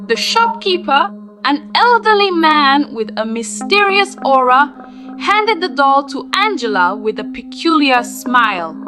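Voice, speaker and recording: High-pitched, animated woman storyteller. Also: unhurried, music in the background. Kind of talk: scripted narration of a spooky folktale.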